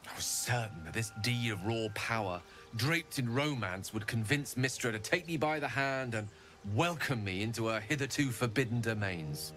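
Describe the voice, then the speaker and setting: An actor playing an eloquent, self-deprecating wizard in a video game, speaking in a theatrical, articulate way. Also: male voice, mid-range voice, animated, normal speed, natural voice. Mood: wistful. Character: wizard man, eloquent, wry, melancholy. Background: soft game music.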